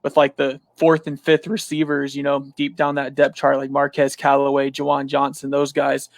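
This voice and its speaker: A man's voice: medium pitch, moderate pace, creaky, no echo. A man talking casually as a podcast guest about football, leaning on fillers like "you know". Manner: relaxed, filler-heavy, says "you know" a lot. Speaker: podcast guest, sports writer. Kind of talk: casual sports chat.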